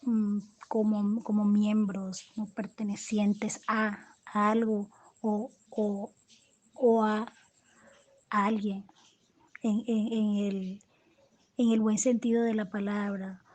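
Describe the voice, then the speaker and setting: A woman from Colombia speaks slowly and thoughtfully as an interviewed poet, her voice fairly high yet mellow and soft. She stops and restarts often, repeating small words while she searches for the next thought, and her pitch stays flat. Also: muffled recording. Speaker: poet and storyteller, interview guest. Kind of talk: reflective interview answers.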